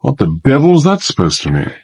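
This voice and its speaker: A sceptical British gentleman officer with a husky voice and lively intonation. He is blunt, impatient and incredulous, in the style of a period audio drama. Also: medium pitch, brisk. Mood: puzzled, probing. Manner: brusque, incredulous.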